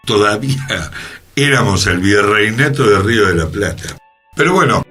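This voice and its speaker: A man's voice: mid-range voice, a bit bright, breathy edge. A man with a Rioplatense Argentine accent hosting a conversational radio history column, explaining didactically with wry asides. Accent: Rioplatense Argentine Spanish. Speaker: radio show host and columnist.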